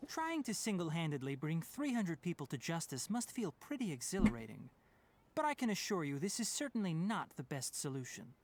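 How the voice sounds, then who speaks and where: An actor voicing a brisk, scholarly young man in a video game, caring but gently chiding, with an articulate, instructive delivery. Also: a little high, big pitch swings, background noise. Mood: wry, matter-of-fact. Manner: articulate, matter-of-fact, instructive. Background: background music.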